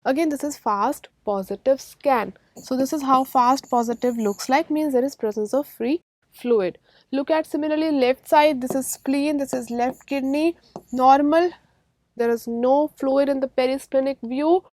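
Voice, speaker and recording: A woman with an Indian accent teaches a medical class in a high-pitched voice. She is didactic and emphatic, restating key terms and putting short check questions to her students. The sound is dry, with very little room echo. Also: normal speed, darkish tone. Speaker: radiology lecturer. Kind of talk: medical exam-prep lecture.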